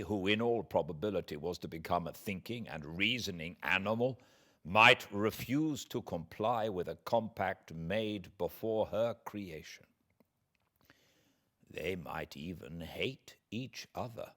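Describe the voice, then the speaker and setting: A British man reading classic literature aloud as a narrator, in a measured, theatrical, dramatic style. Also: low-pitched. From Britain. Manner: measured, theatrical delivery with hushed passages and swelling emphasis.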